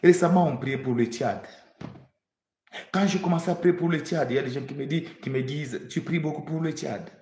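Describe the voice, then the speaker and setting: A man's voice: fairly high pitch, brisk, mellow, roomy sound. A man from the Democratic Republic of the Congo preaching a prophetic sermon, fervent, repeating phrases for emphasis and stammering when he restarts.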